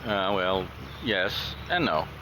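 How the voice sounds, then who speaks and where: Private detective, American accent, lively intonation, lower register, wry, plain-spoken, radio drama. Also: male voice, slow, a little hiss or hum, exaggerated voice. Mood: tentative, probing. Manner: wry, plain-spoken, hesitant when questioning. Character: wry, put-upon male 1950s private detective narrating his cases. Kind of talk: radio drama acting with first-person detective narration.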